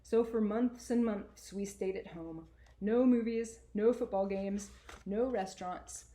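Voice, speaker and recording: A woman voicing a sarcastic, earnest boy narrator in an expressive, colloquial first-person storybook reading. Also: mid-range voice, unhurried, close to an everyday voice. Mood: candid. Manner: colloquial, exasperated, emphatic.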